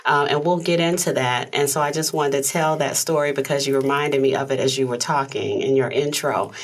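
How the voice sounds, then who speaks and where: A woman hosting a talk show in a studio, soft-spoken and conversational. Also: a bass voice, fairly quick. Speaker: host. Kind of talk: casual interview chat.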